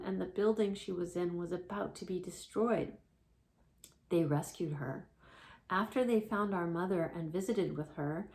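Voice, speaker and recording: A woman voicing a kindly, motherly older sister cat with wings from a storybook, explaining things gently and earnestly. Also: mid-range voice, natural voice.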